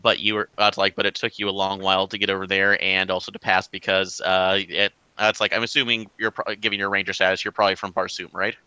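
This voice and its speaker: A man's voice: low voice, little pitch movement, fast talker, hardly any pauses, bright, forceful tone, clear, resonant tone, no room sound. A man acting as game master for an online tabletop game, talking in a loose, rambling way full of fillers. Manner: rambling, filler-heavy, easygoing.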